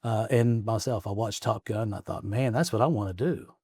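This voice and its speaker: A man's voice: lowish voice, brisk, darkish tone, slightly rough, no echo, studio quality. A man in his forties from Arkansas, a guest in a casual interview, talking in a rambling way, restarting his stories and chuckling often. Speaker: guest, state representative. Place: office in the state capitol.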